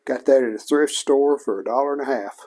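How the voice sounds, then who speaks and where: A man giving a casual repair demonstration, plainspoken and unhurried, speaking in short phrases as he works. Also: medium pitch, a little mellow. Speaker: host of a vintage electronics repair channel.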